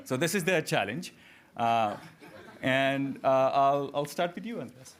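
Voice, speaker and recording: A man's voice: medium pitch, lively intonation, measured pace. A man moderating a panel discussion in an informal, conversational way.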